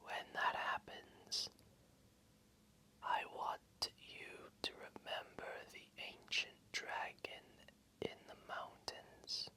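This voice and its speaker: A man voicing an ancient, wise and gentle old dragon in a gravelly, breathy voice, telling a bedtime story slowly and soothingly. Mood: soothing, hushed. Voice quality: gravelly, breathy, often whispered. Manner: slow, solemn, soothing, deliberate. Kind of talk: slow bedtime storytelling, ASMR.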